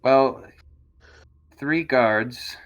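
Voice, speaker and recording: A man voicing a young noble in a tabletop game, evasive and trusting, with a halting, hedging delivery. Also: mid-range voice, a bit bright. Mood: uneasy.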